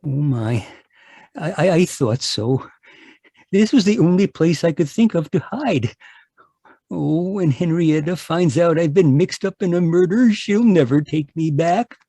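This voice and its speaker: Man acting a timid, stammering, husky runaway husband. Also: on the high side, lively intonation, unhurried, dark tone, dry recording. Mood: fretful, frightened. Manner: stammering, hesitant, fretful. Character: timid, anxious runaway husband, Tom Turkey. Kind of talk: old-time radio drama acting. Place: live online performance over video call.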